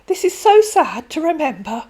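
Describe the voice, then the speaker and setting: Brief: a wheedling, stilted con man who turns bullying, played by a woman reader; high, swooping and overdone. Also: very animated, normal speed, warm tone. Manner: stilted phrasing, syrupy darlings, sudden pushy demands. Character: pushy male online romance scammer, wheedling then bullying. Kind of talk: read-aloud storytelling.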